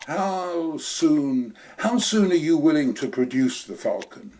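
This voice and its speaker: A pompous, silky schemer with a slightly high voice that rises and falls a great deal, as a man acts him out. He lectures at length in a florid, long-winded way in this radio play. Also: animated, slow pace, darkish tone. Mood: scheming. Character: suave scheming old male treasure hunter. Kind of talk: community radio play acting.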